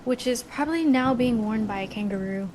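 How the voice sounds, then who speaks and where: An actress playing a pragmatic, easily exasperated millennial American twin in a comedic table read. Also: female voice, on the high side, a little fast, noisy background, close to an everyday voice. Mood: brisk.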